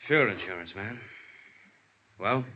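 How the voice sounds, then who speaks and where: An American actor playing a bitter young embezzler in a vintage radio drama, sullen, curt and defensive. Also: male voice, fairly low pitch, expressive, slow. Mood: sullen, defeated. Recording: muffled, noisy vintage radio recording.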